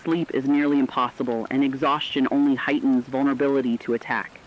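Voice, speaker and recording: A man narrating a scripted emergency radio broadcast in a grave, measured manner. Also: mid-range voice, steady tone. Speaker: radio announcer. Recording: slightly dull audio with faint rain noise.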